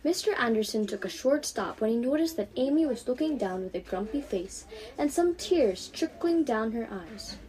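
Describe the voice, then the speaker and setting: A woman's voice: on the high side, expressive, moderate pace, darkish tone, poor audio. A fifteen-year-old young woman reading her own storybook aloud as narrator.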